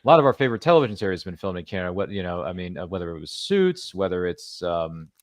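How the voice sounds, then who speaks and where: A man's voice: deep voice, big pitch swings, rapid-fire, dry recording, clean studio sound. A man hosting an interview show, chatty and playful, fond of references and catchphrases. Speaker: podcast host. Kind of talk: casual interview chat.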